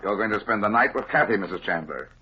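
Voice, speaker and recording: Blunt hardboiled detective, muffled old radio. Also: male voice, lowish voice, expressive, fast, breathy edge, soft background music. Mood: stern, accusing. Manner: dry, hardboiled, clipped. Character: blunt, unflappable male private detective, the fat man. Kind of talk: 1950s radio detective drama acting. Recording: muffled vintage 1950s radio recording.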